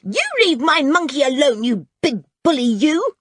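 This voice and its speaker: A woman's voice: extremely high pitch, sing-song, a little slow, pushed and bright, no room sound, studio quality, pitched above the performer's own voice, exaggerated voice. A woman voicing a small, fierce, tearful and defiant boy in a children's story. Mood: furious. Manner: fierce, furious outbursts. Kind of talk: children's audiobook character voice.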